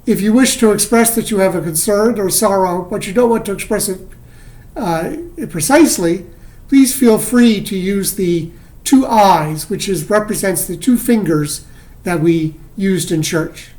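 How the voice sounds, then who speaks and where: A worship leader speaks in a gentle, welcoming way, guiding a congregation through a remote service. The pitch sits in a middle range, and the delivery is plain, with small restarts. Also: a little slow. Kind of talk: service announcements.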